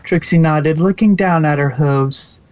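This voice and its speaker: A man's voice: fairly high pitch, a little slow, no room sound, muffled recording. A man reading scripted storybook narration for a fan fiction audio production.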